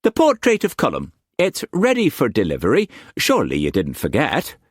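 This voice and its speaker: A man's voice: medium pitch, huge pitch swings, moderate pace, husky, dry recording, clean studio sound, voice pushed up. A man voicing a flamboyant, theatrical old male painter who talks in grand exclamations. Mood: wheedling.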